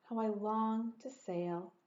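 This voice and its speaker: A woman's voice: on the high side, fairly even intonation, slow, darkish tone. A woman voicing a tiny female sea snail in a storybook, earnest, eager and full of longing. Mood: wistful longing. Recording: roomy, echoey, dull lo-fi sound.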